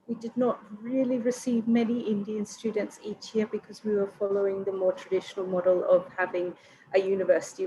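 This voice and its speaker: A woman, a university regional recruitment manager, speaking in a measured, professional way on a video call webinar panel. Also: medium pitch, darkish tone, slight background noise, rough recording.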